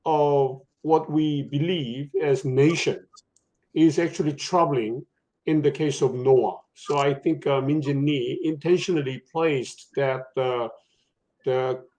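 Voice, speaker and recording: A professor on a book-talk panel, a man who thinks aloud in a halting way, full of fillers and restarts. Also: mid-range voice, fairly even intonation, a little mellow, poor audio. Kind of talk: panel discussion, academic.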